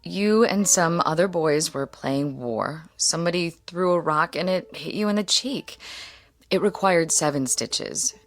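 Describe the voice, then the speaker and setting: Low-pitched, exasperated wife. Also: female voice, soft background music. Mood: impatient. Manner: brisk, exasperated, placating. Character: exasperated, dismissive wife soothing her husband. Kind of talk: radio drama acting.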